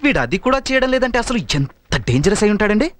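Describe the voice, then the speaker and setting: A man's voice: high-pitched, big pitch swings, fast, slight background noise. An actor playing an earnest, easily flustered young man in a film comedy. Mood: indignant. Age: young adult. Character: earnest young man offering to be a secret sponsor. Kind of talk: Telugu film comedy dialogue. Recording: film soundtrack with loud background music.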